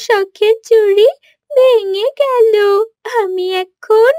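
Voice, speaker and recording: A woman's voice: extremely high pitch, mellow, no echo, clean studio sound, pitched above the performer's own voice, full character voice. A woman voicing a childish, spoiled little girl in a cartoon. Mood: wailing. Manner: childish, drawn-out.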